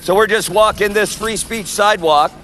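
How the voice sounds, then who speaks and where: Loud high-pitched male street preacher. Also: moderate pace, noisy background. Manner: loud, forceful proclaiming. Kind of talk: open-air street preaching. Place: outdoor sidewalk by a street.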